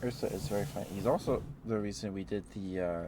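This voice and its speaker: A man's voice: fairly low pitch, fast talker, background noise. A man streaming ASMR, chatting casually and playfully to his live viewers in a rambling way.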